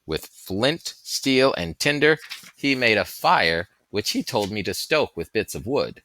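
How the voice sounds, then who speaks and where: Deep, forceful male story narrator. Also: big pitch swings, unhurried. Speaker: audiobook reader. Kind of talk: expressive scripted story narration.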